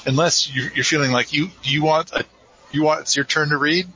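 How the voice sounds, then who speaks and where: A man's voice: a little high, steady tone, fairly quick, pushed and bright, dull audio. A man hosting a live podcast in a rambling, casual, self-deprecating way. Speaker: podcast host.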